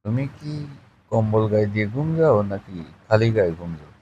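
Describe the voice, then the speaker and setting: A man acting as a faraway boyfriend on a private late-night call. His voice sits fairly low, and he talks casually, with probing, suggestive teasing. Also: expressive, normal speed, dark tone, dull audio, slight background noise, lightly acted. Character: teasing male lover living away from his partner. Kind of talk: intimate late-night phone chat.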